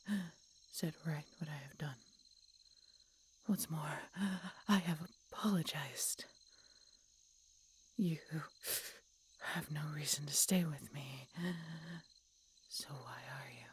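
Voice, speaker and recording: A woman voicing a tender, regretful, dying she-dragon in an audio roleplay, breathy and whispery, speaking haltingly with weak, labored breath. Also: low-pitched, a little hiss or hum. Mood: weary, puzzled. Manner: slow, halting, labored speech with pauses and gasps. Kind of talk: audio roleplay, intimate dramatic monologue.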